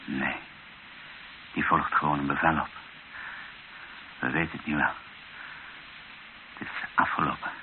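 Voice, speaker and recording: Weary bishop, low voice, grave and reflective, radio drama, hissy old recording. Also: male voice, steady tone, phone-quality sound. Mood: resigned. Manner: reflective, grave, rhetorical, with deliberate pauses.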